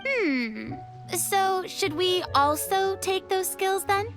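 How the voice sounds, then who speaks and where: A polite young woman in an anime game. Her voice is very high and expressive, and she talks slowly, with thoughtful hesitation. Faint music sits underneath. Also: full character voice. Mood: curious. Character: polite, earnest young woman performer, modest newcomer.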